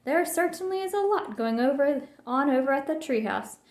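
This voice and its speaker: A woman voicing an elderly grandmother bear in a storybook, polite and measured, kindly but disapproving. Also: high voice, expressive, darkish tone, echoey room, voice pushed up.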